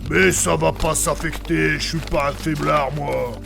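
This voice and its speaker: An actor playing a bragging, foul-mouthed wounded fantasy warrior in a comic audio drama, with a blustering, slangy manner. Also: male voice, fairly high pitch, steady tone, unhurried, creaky, music in the background.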